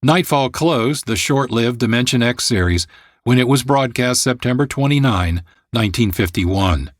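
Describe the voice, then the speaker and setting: A male radio host reads scripted introductions in a dry, close sound. His pitch is mid-range and his delivery measured and articulate. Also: lively intonation, no room sound. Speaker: producer and host.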